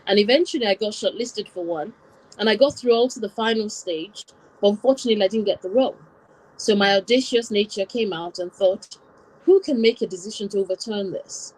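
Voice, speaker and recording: A woman's voice: medium pitch, fairly quick, a little mellow, rough recording. Woman, raised in Nigeria, interview guest, mid-range voice, reflective personal storytelling. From born in Wales, raised in Nigeria from about nine.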